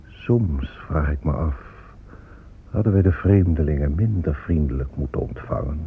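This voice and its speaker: Very deep-voiced, sorrowful native ruler. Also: male voice, gravelly, slightly rough, exaggerated voice. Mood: mournful. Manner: solemn, measured delivery. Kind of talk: radio drama monologue. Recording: muffled phone-like old radio recording.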